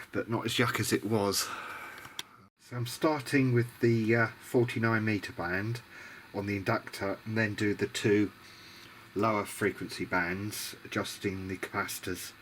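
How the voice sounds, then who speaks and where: A man's voice: on the low side, a bit bright, slight background noise. A British man giving a casual, muttering commentary as he works on a repair, mildly grumbling and self-deprecating. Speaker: hobbyist electronics video diarist.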